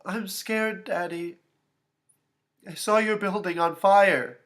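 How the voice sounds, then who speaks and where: Man voicing a frightened little girl, pitched up. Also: steady tone, slow, a bit bright. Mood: scared, tearful. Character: scared nine-year-old girl, pleading for her daddy.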